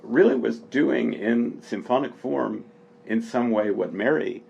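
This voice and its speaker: Very gravelly man, halting and deliberate. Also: mid-range voice, a little mellow. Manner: halting, deliberate, thoughtful phrasing. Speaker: speaker discussing a book at a literary event. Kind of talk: unscripted literary appreciation. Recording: dull, lo-fi sound with faint noise.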